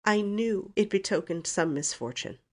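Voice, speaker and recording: A woman voicing a sanctimonious, superstitious female cousin in a storybook, gentle and pious in manner. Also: mid-range voice, moderate pace, voice pushed up, close to an everyday voice. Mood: sniffy.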